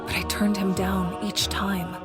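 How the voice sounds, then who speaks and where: A woman's voice: medium pitch, unhurried, close to an everyday voice. Woman as a composed, proud warrior leader. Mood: pensive. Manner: calm, authoritative. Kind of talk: dramatic video game dialogue. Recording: music behind the voice.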